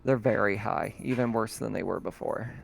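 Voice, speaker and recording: A man narrating as game master of a tabletop role-playing game, halting, with many fillers. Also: lowish voice, creaky, a little hiss or hum.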